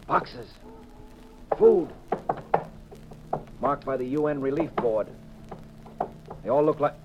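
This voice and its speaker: An actor playing a cool, shrewd private detective, brisk and assured, in a hard-boiled 1950s radio drama style. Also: male voice, medium pitch, natural voice. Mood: excited, deducing. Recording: muffled, hissy 1950s radio recording.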